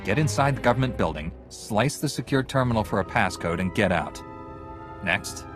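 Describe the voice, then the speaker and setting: An actor playing a calm, resolute Jedi hero in a video game, speaking in a direct, measured, authoritative way. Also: male voice, fairly low pitch, music in the background, natural voice. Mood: businesslike.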